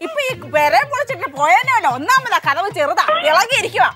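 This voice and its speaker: A woman playing an ignorant, gossipy, loud housemaid in a television comedy sketch, excitable and bossy, speaking. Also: extremely high pitch, fairly quick, over loud music. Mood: flustered, scolding.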